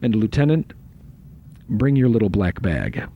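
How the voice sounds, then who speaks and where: An actor playing a busy, wry starship first officer in a science fiction audio drama, dry and authoritative. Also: male voice, lowish voice, very animated, unhurried, full character voice. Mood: wry, official. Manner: dry, sardonic, muttering to himself. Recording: dull lo-fi sound with faint noise.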